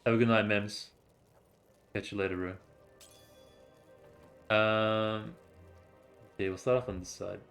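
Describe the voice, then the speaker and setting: A man's voice: on the low side, steady tone, a little slow, creaky, long pauses, a bit bright, soft background music. A man, a native Vietnamese speaker, chatting casually and rambling through gameplay commentary on stream.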